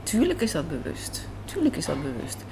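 A woman's voice: on the low side, wildly swooping pitch, normal speed, vocal fry, a little mellow. A woman answering as an interviewed writer and director, reflective and emphatic, repeating phrases for emphasis. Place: café interview. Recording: some background noise and ambience.

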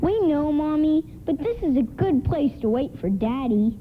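A woman's voice: sits high, animated, moderate pace. A female performer acting an eager, pleading young child in a radio play. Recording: lo-fi, faintly noisy radio broadcast.

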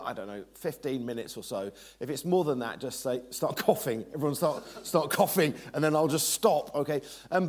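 A man's voice: on the high side, darkish tone. A man preaching at a church service, chatty and joking with the congregation. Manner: chatty, self-deprecating, jokes with the congregation. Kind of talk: informal church sermon introduction.

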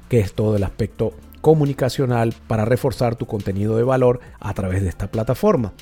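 Husky, warm-voiced man giving advice. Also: medium pitch. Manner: measured, didactic, motivational. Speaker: podcast host and communication trainer. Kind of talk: instructional podcast monologue.

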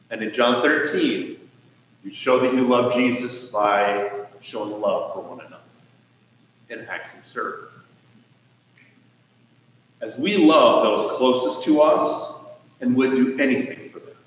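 Male preacher in a very echoey hall. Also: mid-range voice. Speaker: pastor preaching. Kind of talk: sermon. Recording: distant mic in echoey hall, dull, faintly noisy.

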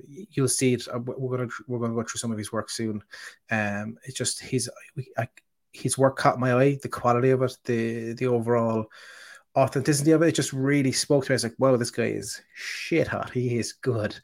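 A man hosting a casual interview over a video call, talking in a rambling way with many fillers and restarts. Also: medium pitch. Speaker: host.

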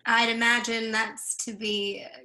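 A woman's voice: on the high side, flat delivery, unhurried, pushed and bright, low-quality recording. A woman playing a wry, bawdy young would-be model in a play reading, with a teasing way of talking. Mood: curious, wry.

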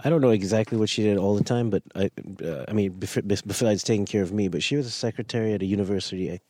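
A man's voice: fairly low pitch, quick pace, warm tone, no room sound. A man from Zimbabwe, a guest entrepreneur, giving short, hesitant answers in a casual interview.

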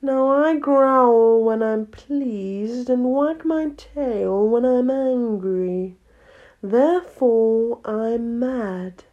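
A woman voicing a languid, mad, grinning cat from a storybook, with slow, drawn-out words. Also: on the high side, phone-quality sound. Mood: languid, musing. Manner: slow, drawn-out, unhurried delivery. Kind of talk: storybook character reading.

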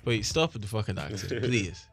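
Male podcast co-host, animated pitch, teasing and profane. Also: soft background music. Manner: teasing, swears freely, quick comic complaints. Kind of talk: casual banter among friends.

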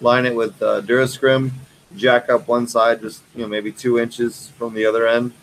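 A man's voice: mid-range voice, flat delivery, moderate pace, low-quality recording. A man hosting a casual podcast, explaining technical details step by step from a large indoor grow facility. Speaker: podcast host.

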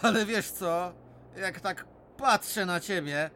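Crafty miner, teasing, high-pitched, unhurried, radio drama. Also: male voice, sharp tone, slight background noise, exaggerated voice. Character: clever cheeky Silesian miner Bulandra, adult man.

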